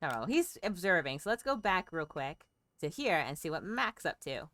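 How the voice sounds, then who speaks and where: A woman's voice: fairly low pitch, very animated, normal speed, a bit bright. A woman streamer giving casual, chatty game commentary, thinking aloud as she plays.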